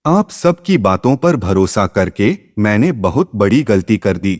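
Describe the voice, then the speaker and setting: A man's voice: fairly low pitch, very animated, a little slow, a little mellow. A man voicing a dissatisfied, stubborn young groom in emphatic dialogue from a narrated moral story. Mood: upset, regretful.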